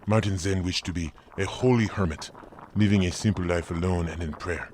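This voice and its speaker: A man's voice: deep voice, fairly quick, darkish tone, soft background music. A man narrating a scripted audio drama as its storyteller.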